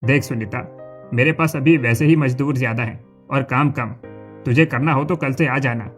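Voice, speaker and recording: A man's voice: medium pitch, moderate pace, soft background music, lightly acted. Man playing a brusque cartoon farm boss. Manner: brusque, bossy. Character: gruff, hard-bargaining male farm owner and boss. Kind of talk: animated story dialogue.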